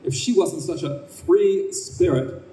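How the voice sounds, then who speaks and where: Man playing a slow-talking, stubborn middle-aged husband. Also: a little high, mellow. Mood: indignant. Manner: grumbling, earnest, emphatic. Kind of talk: live old-time radio play acting. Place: live stage at a convention. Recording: roomy, distant, faintly noisy stage recording.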